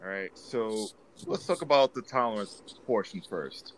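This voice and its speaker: A man's voice: fairly low pitch, lively intonation, moderate pace, a little hiss or hum. A man from the Bronx hosting a podcast, talking earnestly and with feeling. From the Bronx, New York. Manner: earnest, emotional. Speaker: podcast host. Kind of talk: casual podcast chat.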